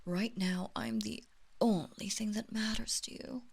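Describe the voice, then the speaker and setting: A woman playing a haughty, seductive vampire lord in a roleplay audio drama, commanding and deliberate, drawing out words and slipping into breathy whispers. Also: medium pitch, fairly even intonation. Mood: seductive, hypnotic. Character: haughty, seductive female vampire lord, mistress of a great house.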